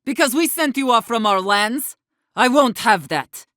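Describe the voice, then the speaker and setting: A woman's voice: a little high, animated, measured pace, fairly bright tone, dry recording, studio quality. An actress playing a defiant, battle-hardened woman who leads exiled wardens in a video game, with a blunt, commanding manner. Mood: indignant, firm. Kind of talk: video game character dialogue.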